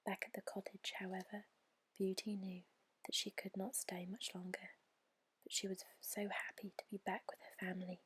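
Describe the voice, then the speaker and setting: Breathy whispering woman reading a bedtime story. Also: mid-range voice, flat delivery. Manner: soft, unhurried, soothing. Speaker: storyteller, ASMR channel host.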